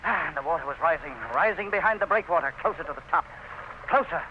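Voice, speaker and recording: An actor playing a resolute, proud planter in an old-time radio drama, narrating his own ordeal with intense, halting delivery. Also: a little high, lively intonation, lightly acted. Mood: tense triumph. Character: resolute, proud male planter battling swarming ants in agony. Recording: noisy, muffled vintage radio recording.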